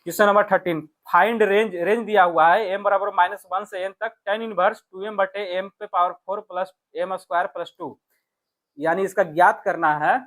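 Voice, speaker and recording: A man's voice: sits high, steady tone, moderate pace, fairly bright tone, low-quality recording. A man teaching exam maths, explaining step by step and often checking that listeners follow. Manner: instructional, repeatedly checks with listeners using short tag questions. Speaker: math teacher. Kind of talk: exam lesson, explaining worked questions to viewers.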